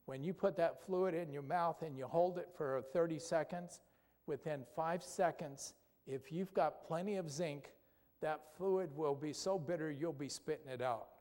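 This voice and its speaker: A man with a fairly high voice gives a casual health talk in a church hall, turning to the crowd with questions and tagging his points with a quick "okay?". A long hall reverb hangs on every word. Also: darkish tone. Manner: conversational, asks the audience questions, tag "okay?". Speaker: doctor giving a health talk. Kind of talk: health lecture with audience questions.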